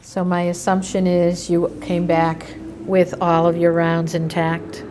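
A woman asking questions as an oral history interviewer, measured and attentive. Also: lowish voice, monotone, noisy background.

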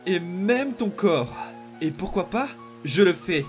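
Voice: medium pitch, expressive, slow pace, faint music behind. An actor voicing a vicious, obsessive young demon boy in a dramatic comic dub, taunting and theatrical. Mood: domineering. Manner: menacing, taunting, theatrical outbursts and mutters.

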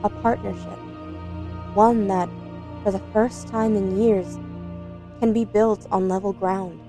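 An earnest woman, played by an actress, reading a heartfelt letter in a comic book dub. Her mid-pitched voice moves slowly, sincere and pleading, with faint music underneath. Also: steady tone. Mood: earnest, tender.

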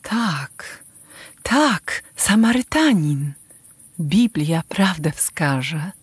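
Expressive, slow-speaking village mother. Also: low-pitched, breathy edge, no echo, exaggerated voice. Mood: tender, devout. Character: tender, devout village mother and wife. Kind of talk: radio play for children.

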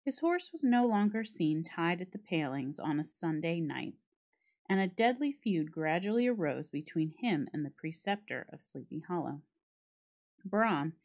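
A woman reading a classic story aloud as a storyteller, with expressive, carefully phrased dramatic delivery. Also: on the low side. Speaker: library staff storyteller.

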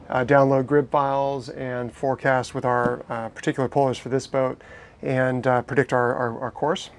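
A man's voice: mid-range voice, a little hiss or hum. A man in his thirties giving an enthusiastic, explanatory tour of his catamaran, talking casually with frequent restarts, recorded on a lapel mic on board. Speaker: boat owner giving a tour. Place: inside a catamaran saloon, docked.

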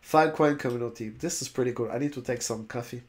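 A man's voice: medium pitch, quick pace. A man in his forties whose first language is French, reading a website aloud and chatting about it at his desk, often stumbling and restarting on long words. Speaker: crypto YouTuber. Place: indoors, webcam overlay on screen share.

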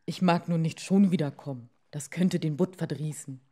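A woman's voice: low voice, normal speed, mellow. Woman playing a meek, reluctant fisherman husband. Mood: reluctant, protesting. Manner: reluctant, downcast.

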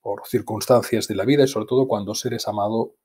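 A man in his fifties from Spain, speaking with a Spanish accent as an interview guest, telling personal memories in a calm, measured way over a video call from a home study. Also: fairly low pitch, slightly rough. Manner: calm, measured, reflective, restarts words. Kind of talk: reflective personal storytelling. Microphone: earphone mic on video call.

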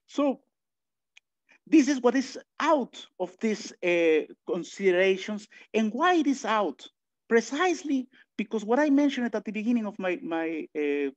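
A man from Mexico with a Mexican accent, giving a philosophy lecture online, thinking aloud with many restarts and lively asides. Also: sits high. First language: Spanish. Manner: thinking aloud, frequent restarts and filler sounds, animated asides. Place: home room, indoors. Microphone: video call webcam. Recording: dull lo-fi video call audio.

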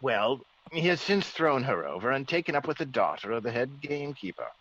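An actor playing a genial, excitable aristocratic country squire, well-bred and blustering, in classic radio drama. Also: male voice, medium pitch, a little fast, dry recording. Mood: confiding. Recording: dull, faintly noisy old radio recording.

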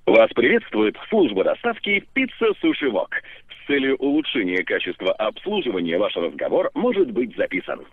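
A man's voice: medium pitch, wildly swooping pitch, moderate pace, no echo. A man reading a scripted automated greeting for callers on a phone line. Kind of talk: scripted recorded announcement. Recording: muffled, dull phone line recording.

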